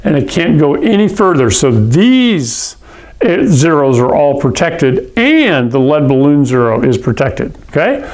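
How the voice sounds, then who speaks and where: Man from Hawaii, classroom lecturer, unhurried delivery, pitched a little high, patient explainer. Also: big pitch swings, a little mellow. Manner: patient, checks in with "okay?" and "all right?". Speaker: science teacher.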